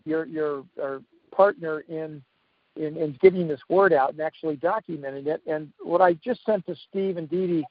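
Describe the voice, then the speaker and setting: A man hosting an interview, talking haltingly with many fillers and repeated words. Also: a little high, dry recording. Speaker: host. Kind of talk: casual interview talk. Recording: dull lo-fi call audio.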